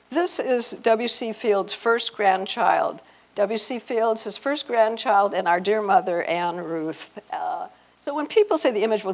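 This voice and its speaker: An older woman giving an informal, sentimental talk of reminiscence into a handheld microphone. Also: mid-range voice. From southern California. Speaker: panel speaker at a fan convention. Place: hotel conference room with small audience.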